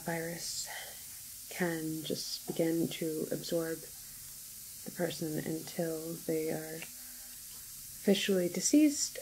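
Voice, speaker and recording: Slow, soft-spoken ASMR doctor played by a woman. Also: on the low side, slight background noise, poor audio. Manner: hesitant, measured, explanatory. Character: calm, clinical woman doctor at an alien-virus quarantine facility. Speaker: ASMR roleplay performer. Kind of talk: ASMR roleplay, soft-spoken with whispered stretches.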